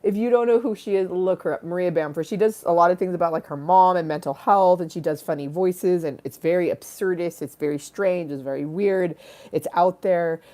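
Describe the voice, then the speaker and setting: A woman with a slightly low, dark and mellow voice chats to her live stream. She rambles comically from aside to aside with a quirky, theatrical streak. Her manner is giggly and bawdy, with casual swearing. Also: fairly quick. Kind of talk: rambling comic livestream chat.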